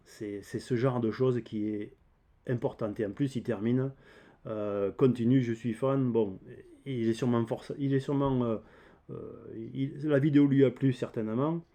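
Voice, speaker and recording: Male DIY vlogger, southern French accent, soft-edged relaxed tone, unscripted and hesitant delivery that wanders as he explains. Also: medium pitch, normal speed. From south of France. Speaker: DIY video channel host. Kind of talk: casual unscripted vlog talk.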